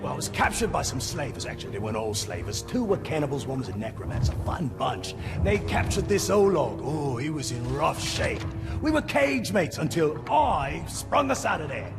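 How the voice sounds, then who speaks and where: A man voicing a chatty, excitable little orc in a video game, with a gravelly, rambling, jokey delivery. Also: fairly high pitch, moderate pace. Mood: cheerful rambling. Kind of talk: video game character acting. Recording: music behind the voice.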